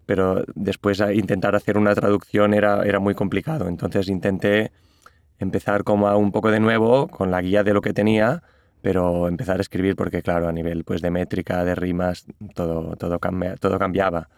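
A man's voice: sits low, level tone, a little fast, dry recording, clean studio sound. A man with a Catalan accent, a musician answering interview questions in a thoughtful, hesitant way with many restarts. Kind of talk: interview conversation.

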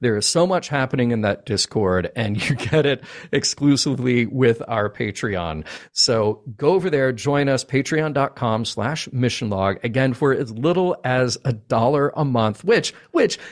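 A man's voice: mid-range voice, animated, normal speed, hardly any pauses. Chatty man hosting a live show. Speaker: host of a live fan call-in show. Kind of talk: casual live podcast hosting.

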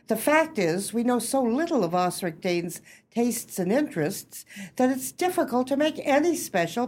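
An actress in a radio play as a genteel, eager club hostess, speaking in formal, gracious, high-flown phrases. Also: female voice, darkish tone.

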